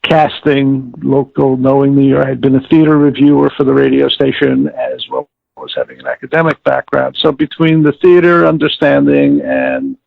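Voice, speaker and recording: An older American man recalling his career in an interview, speaking articulately and formally but haltingly as he searches for words. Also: medium pitch, gravelly, dry recording. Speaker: interviewee, former audiobook director and producer. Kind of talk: interview recollection. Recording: thin band-limited phone-like call audio.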